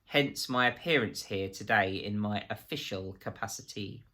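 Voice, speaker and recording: Man acting a coldly polite, bright-voiced official interrogator. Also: on the low side, normal speed. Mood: formal, probing. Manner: light, conversational, precise, formal questioning.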